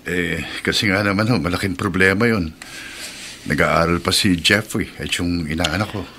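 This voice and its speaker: A man's voice: low voice, normal speed, sharp tone, slight background noise. A man playing a respectful, concerned family friend with a Filipino accent in a Tagalog radio drama, polite and a little hesitant.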